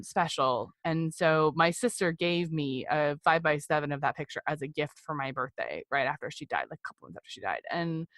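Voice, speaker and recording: A woman's voice: sits low, fairly bright tone, dry recording. A woman in her forties speaking candidly as a podcast guest, in a reflective, rambling, conversational way. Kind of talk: candid personal interview conversation.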